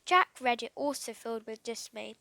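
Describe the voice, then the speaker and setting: A woman reading a children's adventure story aloud in an expressive way, as an audiobook reader. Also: fairly high pitch, moderate pace, creaky, no room sound.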